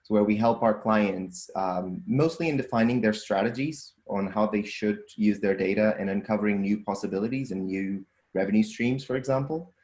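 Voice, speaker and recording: Low-voiced man with a Portuguese accent. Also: moderate pace, few pauses, a little mellow, roomy sound. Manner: thoughtful, with frequent ums and restarts. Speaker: guest, data scientist and entrepreneur. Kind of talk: casual interview answers.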